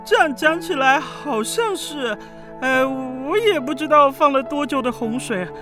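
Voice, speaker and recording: A woman's voice: sits high, expressive, measured pace, over music. A woman with a Taiwanese Mandarin accent playing a lonely, self-doubting frog prince and former villain in a children's radio drama, dramatic and hesitant. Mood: sorrowful.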